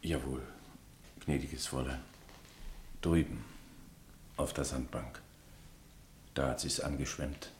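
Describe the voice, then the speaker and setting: Very deep, slow manservant, echoey. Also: male voice, steady tone, slight background noise. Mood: grave, hushed. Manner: slow, subdued, halting. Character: grave, deferential servant bearing bad news. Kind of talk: German radio play acting. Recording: echoey, faint background ambience.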